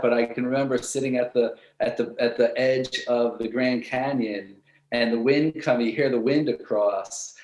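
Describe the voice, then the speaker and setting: Guy musician guest on a panel, roomy echo, average pitch, rambles on keenly and keeps rewording stuff. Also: darkish tone. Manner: rambling, enthusiastic, often rephrasing. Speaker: guest percussionist. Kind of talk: informal panel discussion. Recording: roomy, echoey sound.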